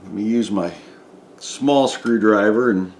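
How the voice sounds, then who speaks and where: A man's voice: lowish voice, unhurried, a little mellow, slight background noise. Man narrating a repair in an echoey room. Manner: methodical, step-by-step. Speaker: DIY repair presenter. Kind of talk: hands-on repair demonstration, unscripted narration.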